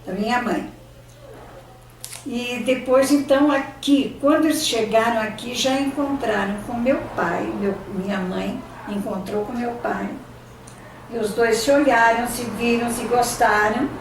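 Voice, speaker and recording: An older Brazilian woman whose first language was Italian, reminiscing in an interview and often pausing to search for words and restarting her sentences. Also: a little high, noisy background. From Brazil, São Paulo state. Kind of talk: oral history reminiscing. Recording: echoey room, distant mic, faint ambience.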